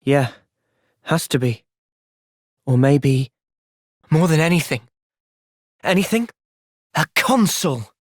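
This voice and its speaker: A man playing an earnest, gentle, resolute young soldier in video game cutscenes. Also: fairly high pitch, lively intonation, slow pace, long pauses, no room sound, studio quality. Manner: earnest, thoughtful. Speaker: voice actor.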